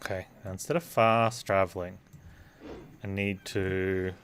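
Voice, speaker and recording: A man's voice: sits low, level tone, a little slow, noisy background. A man giving casual live gameplay commentary, thinking aloud and chatting with viewers. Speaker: game streamer.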